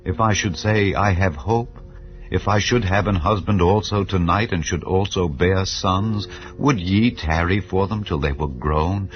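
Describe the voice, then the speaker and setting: Naomi, an aged widow worn down by grief, speaks in slow, solemn phrases, voiced by a man. The voice sits very low, and the recording is a little dull. Faint music plays behind. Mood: lamenting. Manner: slow, solemn biblical speech. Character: grieving aged widow Naomi, bitter and tender.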